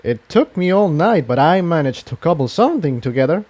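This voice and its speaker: A man's voice: fairly high pitch, sing-song, fairly quick, darkish tone, voice pushed up. A man voicing an upbeat male unicorn tinkerer in a fantasy story, explaining things in a reassuring manner. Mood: pleased.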